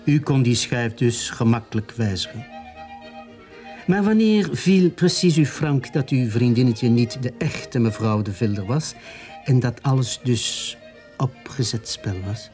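An actor playing a polite, dutiful police sergeant with a matter-of-fact manner in a radio drama. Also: male voice, mid-range voice, lively intonation, unhurried, darkish tone, faint music behind, close to an everyday voice.

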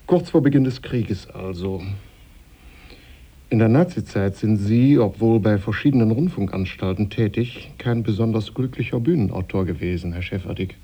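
A man hosts a formal studio interview in scripted sentences. His voice is fairly low and mellow, with a husky grain. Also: animated, moderate pace. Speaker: radio host interviewing studio guests. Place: radio studio.

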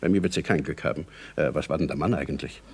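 A man playing a dry, earnest gentleman, eager yet skeptical and questioning, in a radio play. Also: extremely low pitch, quick pace, breathy edge, heavily acted. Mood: dry, sardonic.

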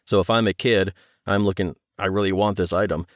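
A man's voice: deep voice, steady tone, brisk, dry recording, studio quality. A man talking casually as an interview guest, telling things in an anecdotal way. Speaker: podcast guest, audio drama producer.

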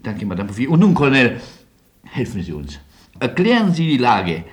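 An actor playing a nervous, eagerly optimistic émigré gentleman in a radio play, with ceremonious, earnest and emphatic speech. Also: medium pitch, big pitch swings, normal speed, lightly acted. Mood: earnest, polite. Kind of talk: German radio play acting.

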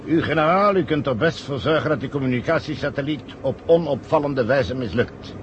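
An actor playing a sly, smug conspirator who calmly gives orders in a vintage radio play. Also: male voice, medium pitch, brisk, dull audio, a little hiss or hum, lightly acted. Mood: commanding, smooth. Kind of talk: 1970 radio play acting.

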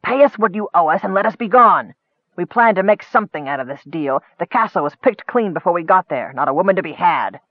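A man's voice: high-pitched, lively intonation, fairly quick, no room sound. A man voicing a bitter, greedy male goblin chief who sneers and hisses his demands. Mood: sneering. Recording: tinny phone-like dry sound.

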